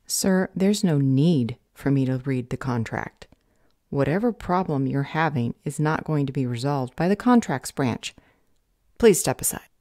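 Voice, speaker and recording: Lady playing a unhelpful gov office clerk in an audio roleplay, dark relaxed voice, officious and talks down to you. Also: rumbling low, unhurried, dry recording, clean studio sound. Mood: bored, dismissive. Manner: bureaucratic, unhelpful, condescending. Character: jaded, officious female government customer service clerk. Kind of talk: audio roleplay drama acting.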